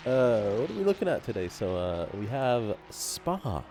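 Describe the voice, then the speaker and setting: A man's voice: medium pitch, very animated, moderate pace, mellow, faint music behind. A nasal-sounding man with a cold, giggling often as he commentates a live sim race. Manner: giggly, laughs often, playful. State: has a cold.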